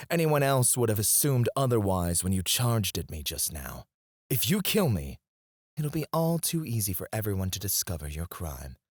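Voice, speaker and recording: A man's voice: medium pitch, no echo, clean studio sound. A man playing a cocky, disdainful royal alchemist in a scripted fantasy audio roleplay, speaking in a formal, haughty, theatrical way. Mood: indignant, taunting. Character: cocky, disdainful male royal alchemist, sharp-witted and proud. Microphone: close, dry studio mic.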